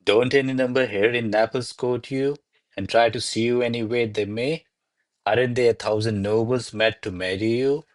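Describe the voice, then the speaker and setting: A man's voice: medium pitch, steady tone, normal speed, no room sound, close to an everyday voice. A man playing a deferential, flustered servant to a noble lady in a radio play, speaking formally and placatingly. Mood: defensive. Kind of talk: radio play acting of a classic comedy.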